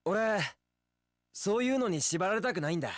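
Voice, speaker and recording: An actor playing a young wandering warrior in an action game, easygoing, romantic and sincere, with casual, rough speech. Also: male voice, high voice, animated, moderate pace, no room sound, studio quality. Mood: carefree. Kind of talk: Japanese action game voice acting.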